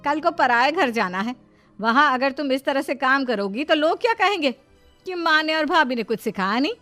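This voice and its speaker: A woman's voice: high-pitched, expressive, normal speed, bright, forceful tone, soft background music, voice pushed up. A woman voicing a bossy, spiteful sister-in-law in a cartoon story, scolding and nagging with sarcasm. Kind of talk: cartoon story character acting.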